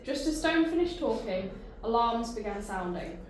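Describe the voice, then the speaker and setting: A woman's voice: on the high side, lively intonation, moderate pace, very echoey, rough recording. A woman reading narration and stage directions at a table read.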